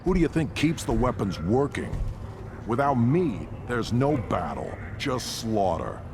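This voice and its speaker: An actor voicing a cocky, gruff alien mechanic in a video game, blunt and boastful. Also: male voice, mid-range voice, very animated, measured pace, noisy background. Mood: boastful, scornful. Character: gruff cocky alien warrior-race mechanic, adult male. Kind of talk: video game dialogue acting.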